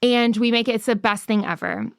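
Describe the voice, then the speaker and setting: A woman's voice: a little high, little pitch movement, fairly quick, clear, resonant tone, dry recording, studio quality. A young woman co-hosting a podcast, chatting casually and steering the conversation with lots of "like" fillers. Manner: chatty, frequent "like" fillers, leads the questions. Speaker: podcast co-host.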